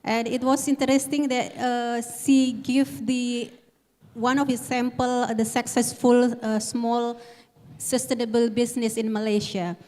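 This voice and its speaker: A woman moderating a conference session, polite and halting with fillers. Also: fairly high pitch, level tone, mellow. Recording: reverberant conference hall.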